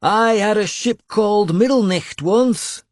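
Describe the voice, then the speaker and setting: A commanding Northman warrior lord, acted out by a male audiobook reader, bellows emphatically over a storm. The voice is pushed high and loud, with dry, close sound. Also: a little slow, dry recording. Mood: shouting, confident.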